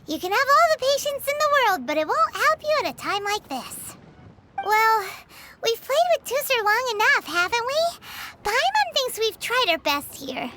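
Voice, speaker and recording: Game casting: a tiny floating fairy sidekick, extremely high, lively voice, opinionated but caring. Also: female voice, heavy background noise. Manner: refers to herself by name. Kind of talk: animated video game dialogue.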